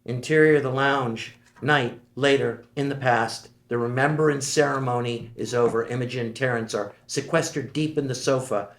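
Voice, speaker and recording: A man reading stage directions aloud in a measured, steady way at a screenplay table read. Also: mid-range voice. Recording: echoey room.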